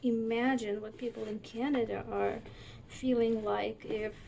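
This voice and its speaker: A young woman hosting a casual cooking video, chatty and full of fillers, her voice raspy from wildfire smoke. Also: fairly high pitch, fairly even intonation, measured pace, dark tone, a little hiss or hum, rough recording. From northern United States.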